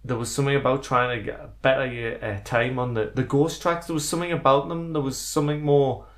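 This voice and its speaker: A man's voice: mid-range voice, steady tone, brisk. A man co-hosting a podcast in casual, opinionated conversation with some offhand swearing. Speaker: podcast co-host. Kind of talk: casual chat about retro video games.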